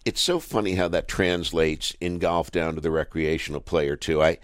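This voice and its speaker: A man's voice: sits low, steady tone, moderate pace, no room sound, studio quality. A man hosting a sports radio talk show, asking rambling questions in a conversational, jokey way. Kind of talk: casual sports radio interview.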